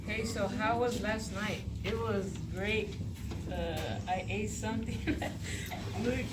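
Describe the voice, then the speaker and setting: A woman's voice: mid-range voice, steady tone, takes it slowly. A woman doing casual amateur puppet show dialogue, with offhand asides. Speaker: student puppeteer. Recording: very loud noise, distant mic.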